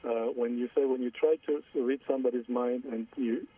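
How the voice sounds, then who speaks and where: A man's voice: medium pitch, steady tone, no echo. Hesitant Iranian-Armenian man on a phone line. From Iran. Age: 40s. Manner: hesitant, halting, repeats words. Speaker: caller. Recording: distant husky phone line.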